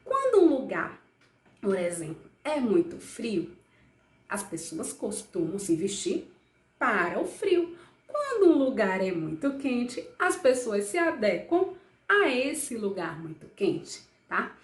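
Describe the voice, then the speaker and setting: A woman with a Brazilian accent teaching a lesson to children in a lively, coaxing way, asking questions and answering them herself. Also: medium pitch, slow, echoey room.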